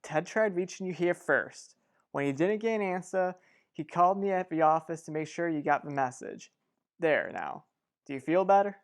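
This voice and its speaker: A woman's voice: fairly low pitch, normal speed, lightly acted. A woman playing a level-headed, exasperated, protective sibling in an old-fashioned radio soap opera, matter-of-fact and chiding.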